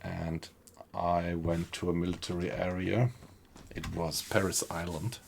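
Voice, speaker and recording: Slow, gravelly German-accented man. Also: sits low, flat delivery, a little mellow, a little hiss or hum. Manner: easygoing, thinks aloud, reacts to the game while talking to chat. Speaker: game streamer. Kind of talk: live gameplay commentary, chatting with viewers.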